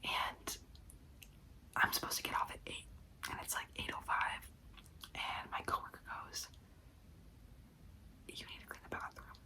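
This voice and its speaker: A woman's voice: a little slow, pauses often, bright, forceful tone, poor audio. A young woman who works a part-time food service job, whispering a story and venting with lots of "like" fillers. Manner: whispering throughout, frequent "like" fillers; exasperated venting.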